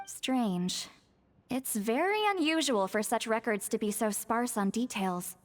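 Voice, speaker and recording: A woman voicing a composed, scholarly character in a video game, measured, thoughtful and gently firm. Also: on the high side. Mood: pondering.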